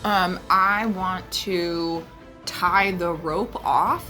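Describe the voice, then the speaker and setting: A woman's voice: lowish voice, fairly even intonation, drawn-out words, bright, forceful tone. A woman talking casually as a player in a tabletop game, describing her moves. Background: clear music.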